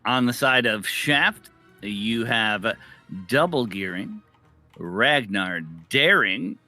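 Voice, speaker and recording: Bright-voiced man doing esports play-by-play. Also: medium pitch, animated, slow pace. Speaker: esports commentator. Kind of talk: live video game tournament play-by-play and analysis. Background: music.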